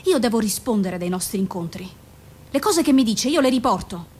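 An actress playing a measured, firm female doctor in a naturalistic radio drama, questioning in a controlled, formal and probing way. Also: fairly high pitch, expressive, a little mellow, slightly rough. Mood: controlled, insistent.

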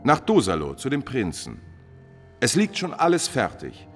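An actor playing a smug, scheming prince's emissary in a classical German radio play, with pompous, oily formality. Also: male voice, medium pitch, wildly swooping pitch, normal speed, big-room sound, faint music behind. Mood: smooth self-importance.

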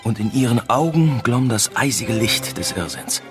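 A man's voice: medium pitch, lively intonation, moderate pace, over music, close to an everyday voice. A man playing a gossipy, uneasy spa-town resident in a German radio play. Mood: conspiratorial, uneasy.

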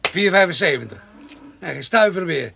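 A man's voice: on the high side, lively intonation, normal speed, creaky, bright, forceful tone, a little hiss or hum, heavily acted. A man playing a jovial, blustering livestock dealer who haggles and banters in a radio play. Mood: blustering, haggling.

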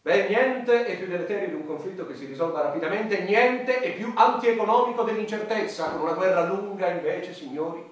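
An actor playing a pompous, self-assured president in satirical radio theatre, with a smug, declamatory delivery. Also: male voice, sits high, brisk, hardly any pauses, close to an everyday voice. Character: pompous male president of a warmongering state. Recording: very echoey, distant microphone, faint noise.

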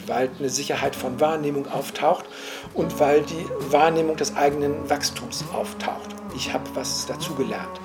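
A man giving an interview, reflecting slowly and searching for his words. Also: mid-range voice. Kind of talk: reflective spoken commentary.

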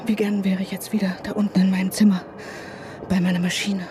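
A woman's voice: mid-range voice, level tone, quick pace, background noise, lightly acted. An actress playing an anxious, irritable mother who dreads travel and contact, muttering fretfully in a radio drama. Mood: anxious, wistful. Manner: fretful inner monologue, often dropping to a whisper. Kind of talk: German radio drama acting.

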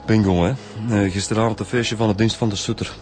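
Man playing a Flemish police inspector, lowish voice. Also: fairly even intonation, fast talker, darkish tone, natural voice. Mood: brisk. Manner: matter-of-fact, informal. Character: quick-thinking male federal police inspector. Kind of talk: naturalistic radio drama acting. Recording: music under the dialogue.

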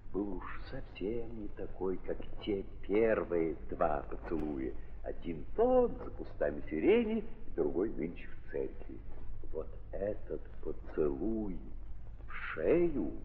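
A man narrating a 1930s audio drama in an emphatic, declamatory theatrical style, with repeated phrases and pauses, on an old recording. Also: sits low. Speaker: narrator of a staged literary drama. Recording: echoey, noisy, muffled 1930s audio play.

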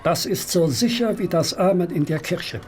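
A man's voice: sits high, animated, moderate pace. A man playing a grim Victorian London police inspector in a radio play, authoritative and emphatic, over background noise. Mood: stern, certain. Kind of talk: German radio play dialogue.

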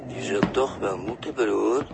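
A sardonic deckhand, noisy old recording. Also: male voice, fairly low pitch, unhurried, vocal fry. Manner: dry, colloquial, teasing. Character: sardonic idle male deckhand, chummy and unhelpful. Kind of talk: 1960s Dutch radio play acting. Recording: noisy 1960s radio recording.

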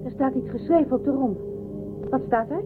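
Actress as young space crew woman, high voice, earnest n insistent, tinny audio with music. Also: brisk, natural voice. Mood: alert. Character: young female spaceship crew member, keen and strong-willed. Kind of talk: radio drama acting. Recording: tinny phone-like narrowband audio.

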